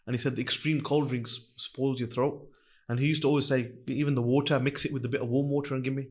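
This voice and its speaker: A man's voice: medium pitch, little pitch movement, fast. A man hosting a podcast interview who chimes in and finishes his guest's sentences. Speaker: podcast host. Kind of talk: casual interview talk.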